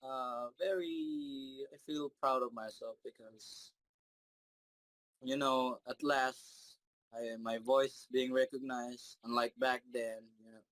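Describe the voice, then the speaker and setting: Halting young man, dry close sound. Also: medium pitch, level tone, a bit bright, no room sound, rough recording. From Philippines. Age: 20s. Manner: halting, many fillers and restarts, shy. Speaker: interview guest, singer. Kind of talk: casual interview answers.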